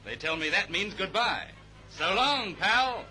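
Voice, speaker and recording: A British man narrating a 1930s newsreel in a brisk, declamatory, jaunty announcer's manner. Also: high-pitched, animated. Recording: vintage 1930s newsreel soundtrack, music underneath. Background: music.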